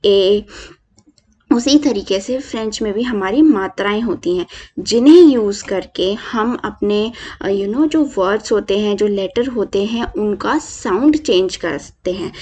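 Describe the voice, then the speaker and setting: A young woman teaches an online language lesson, explaining slowly and switching between Hindi and English with frequent fillers. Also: medium pitch, darkish tone, breathy edge.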